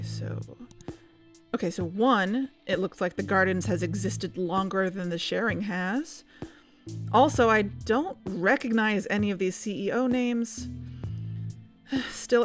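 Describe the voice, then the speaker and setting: A woman playing a skeptical, level-headed podcast investigator in an audio drama, speaking in a measured, cautious way. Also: medium pitch, close to an everyday voice. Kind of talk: conversational audio fiction acting.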